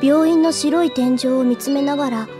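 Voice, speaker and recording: A woman's voice: high-pitched, moderate pace, over music, natural voice. A woman playing an earnest, deferential younger schoolgirl in an audio drama, polite, sweet and a little timid. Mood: wistful, narrating. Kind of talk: Japanese audio drama acting.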